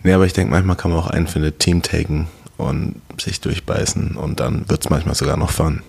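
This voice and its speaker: A man's voice: a bass voice, fairly even intonation, fairly quick, creaky, darkish tone, husky. A man co-hosting a casual podcast, earnestly explaining his points step by step. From half American, half German, born in Germany. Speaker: podcast co-host.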